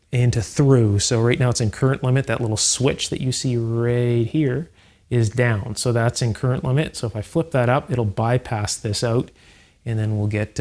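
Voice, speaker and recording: A man hosting an electronics restoration video, explaining each step calmly and methodically, with frequent fillers. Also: mid-range voice. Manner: calm, methodical, with fillers like "uh" and "you know". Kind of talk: hands-on technical explanation.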